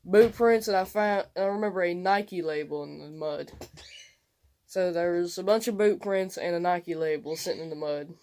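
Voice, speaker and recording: A young man recounting an experience casually and excitably in colloquial speech. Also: high voice, moderate pace, a little hiss or hum. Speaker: co-host telling a personal story. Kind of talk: casual storytelling chat.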